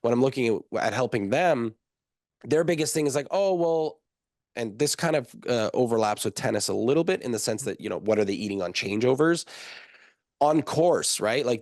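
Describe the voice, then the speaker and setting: A young man talking as a guest on a podcast, explaining things in a casual, quick way with lots of fillers. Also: medium pitch, dry recording, studio quality. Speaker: guest, nutritionist.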